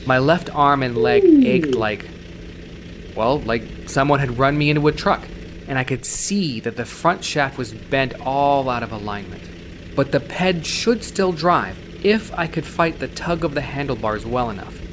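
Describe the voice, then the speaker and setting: A man's voice: medium pitch, big pitch swings, a little slow, background noise. A man playing a gritty, wry cyberpunk courier, telling his story in a hard-boiled, conversational first person. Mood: wry, tense. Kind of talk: dramatic first-person audio story narration. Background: loud synthwave soundtrack.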